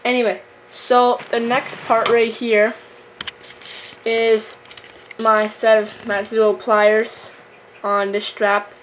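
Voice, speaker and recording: Flat, halting young fishing vlogger, female. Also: fairly high pitch. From Canada. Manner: halting, casual. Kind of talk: casual product tour. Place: room at home with wooden floor. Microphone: camera microphone. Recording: noisy amateur camera recording, handling clicks.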